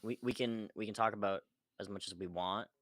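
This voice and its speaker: A man's voice: on the low side, a little fast, fairly bright tone, no room sound, clean studio sound. A man co-hosting a casual gaming podcast in a tentative, hedging way, repeating words as he goes. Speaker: podcast co-host.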